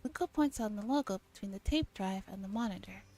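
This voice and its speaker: A young woman streamer with a soft, breathy voice, reading a visual novel aloud in ASMR style and chatting playfully in casual slang. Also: medium pitch, lively intonation, quick pace. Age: young adult. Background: game music.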